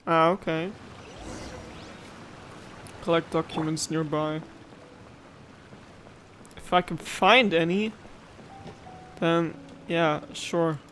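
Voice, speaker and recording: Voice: medium pitch, fairly even intonation, drawn-out words, pauses often, a bit bright, a little hiss or hum. A gaming video host giving casual playthrough commentary with offhand, joking reactions.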